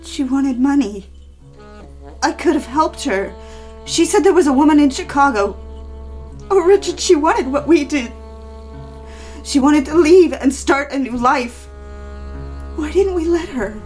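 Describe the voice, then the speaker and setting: A woman's voice: high voice, lively intonation, unhurried, pauses often, darkish tone. A woman acting a distraught, pleading young wife in an audio drama, anxious and full of questions. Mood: agitated, regretful. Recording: music under the voice. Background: music.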